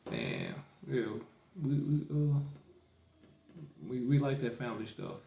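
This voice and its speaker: A man's voice: mid-range voice, huge pitch swings, slow pace, creaky, warm tone, a little hiss or hum. Laid-back man with roomy echo. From St. Louis, Missouri. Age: 30s. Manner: laid-back, rambling, lots of fillers. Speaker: podcast co-host. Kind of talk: casual chat.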